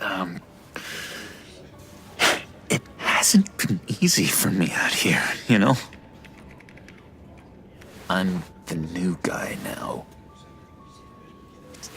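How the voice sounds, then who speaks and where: An unhinged, clingy villain for a video game. The voice is low and gravelly, slipping into breathiness. It lurches theatrically between slow, halting confidences and wild swoops, needy and manic. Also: male voice, huge pitch swings, fairly bright tone, soft background music, exaggerated voice. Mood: nervous, wistful. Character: unstable, clingy, awkward young male villain with manic laughter.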